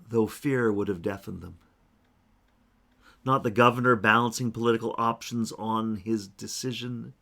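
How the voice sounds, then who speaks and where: A man, a minister, giving a measured, solemn scripture reading and reflection at a church service. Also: lowish voice, fairly bright tone.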